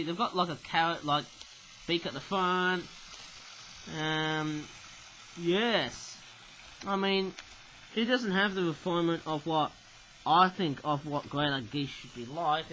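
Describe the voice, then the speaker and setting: A man's voice: fairly high pitch, fairly bright tone, a little hiss or hum, low-quality recording. A young Australian man casually reviewing toys, rambling on and often tagging his sentences with "eh?". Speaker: toy reviewer. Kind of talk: casual toy review monologue.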